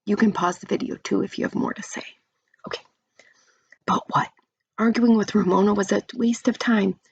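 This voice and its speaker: A woman's voice: medium pitch, fairly even intonation, moderate pace, creaky, husky, no room sound, dull audio. A woman reading a children's storybook aloud expressively, like a teacher to young listeners.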